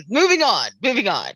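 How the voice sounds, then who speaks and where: A performer playing a wry, unhurried small-town male sheriff in a comedy table read. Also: high voice, pushed and bright, husky, no echo, full character voice.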